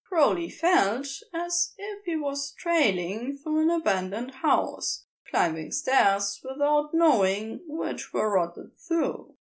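A woman reading scripted fiction as an audiobook narrator, with an expressive, measured storytelling delivery. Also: high-pitched.

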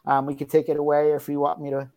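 Low-voiced effusive woman host. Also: monotone, quick pace, dark tone. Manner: effusive, repeats praise. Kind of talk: panel discussion hosting.

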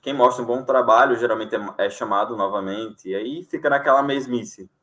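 A man's voice: mid-range voice, brisk. A young man from Alagoas, Brazil, a sign language interpreter, chatting casually in an interview with frequent fillers and easy laughter. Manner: relaxed, many fillers, laughs easily.

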